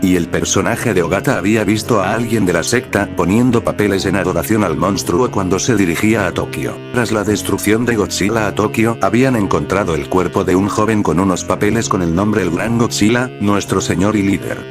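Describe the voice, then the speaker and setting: A man's voice: sits low, a little fast, hardly any pauses, music in the background. A man narrating a scripted retrospective video as its presenter.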